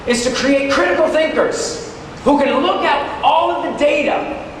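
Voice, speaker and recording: A man giving a motivational keynote to teachers, emphatic and dramatic with drawn-out key words, conversational with some wry humor. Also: very high-pitched. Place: large hall at a teachers' conference. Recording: very echoey hall, distant, noisy.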